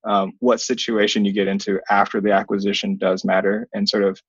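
A man in his thirties answering interview questions conversationally as a guest, in a measured, hedging way. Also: on the low side, little pitch movement, breathy edge, no echo, dull audio. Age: 30s. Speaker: software company founder, interview guest. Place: small plain indoor room. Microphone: webcam video call, wearing headphones.

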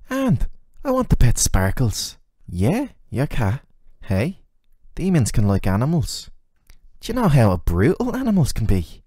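A man's voice: mid-range voice, warm tone, no room sound, clean studio sound. A man voicing a flirty, sardonic, ageless male demon who teases the listener in casual, slangy, intimate roleplay audio.

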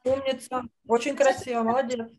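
A woman's voice: high voice, little pitch movement, brisk, darkish tone, slight background noise. A woman teaching children drawing in an online lesson, speaking in an encouraging way full of praise. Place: indoors, on a video call. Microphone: video call microphone.

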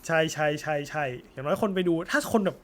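A man's voice: on the high side, measured pace. A young man chatting casually and informally as co-host of an anime discussion. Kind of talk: casual post-film chat.

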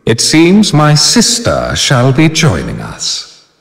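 An actor playing a stately, patient god in a fantasy video game, speaking in a measured, formal manner. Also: male voice, mid-range voice, wildly swooping pitch, vocal fry, heavily acted. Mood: calm, grave. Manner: measured, formal archaic speech. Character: stately, patient brother god welcoming battle. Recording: long reverberant hall effect.

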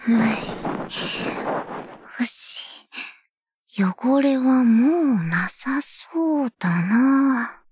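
A brash delinquent schoolgirl who loves to tease. Her female voice sits a little high and swings widely in pitch, with a breathy husk. She talks in rough, tomboyish slang, very close to the mic as in an ASMR drama. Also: lively intonation, extremely slow, pauses often, darkish tone, no room sound, full character voice. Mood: soft, attentive. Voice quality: husky, breathy. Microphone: close-up ASMR microphone.

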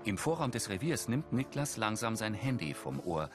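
A man's voice: on the low side, very animated, normal speed, over music. A man reading scripted audio description narration for a TV film.

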